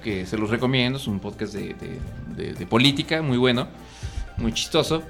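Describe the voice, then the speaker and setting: A man with a Mexican accent hosting a podcast, chatty and quick to laugh, often pausing on fillers. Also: medium pitch, lively intonation, gravelly, a bit bright, faint music behind. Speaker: podcast host. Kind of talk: casual chat.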